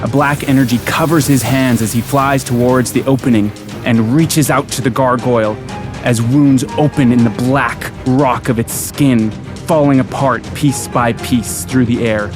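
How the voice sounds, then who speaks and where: A man's voice: medium pitch, fairly even intonation, measured pace. Man narrating fantasy scenes over music. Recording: prominent music bed behind narration.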